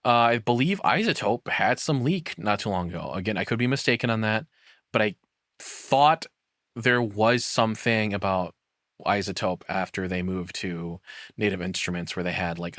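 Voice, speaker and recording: Low-voiced man, casual sweary rambling. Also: a bit bright, dry recording, clean studio sound. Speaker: streamer reacting to a video. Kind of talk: casual reaction commentary.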